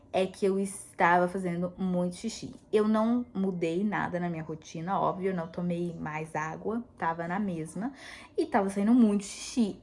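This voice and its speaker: A woman's voice: medium pitch, darkish tone. Chatty woman rambling in a casual vlog. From United States. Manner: chatty, rambling, laughs often. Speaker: vlogger. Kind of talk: casual chat.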